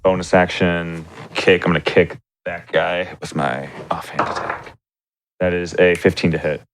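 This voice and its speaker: Deep-voiced man gaming, noisy recording. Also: flat delivery, unhurried. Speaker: tabletop game player. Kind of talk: casual game-table chat.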